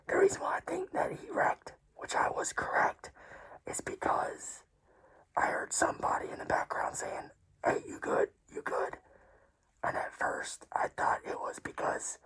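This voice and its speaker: Tearful whispering woman, gravelly and high. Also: poor audio. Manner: hushed whisper throughout; casual, swears freely. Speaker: storyteller. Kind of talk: whispered storytime.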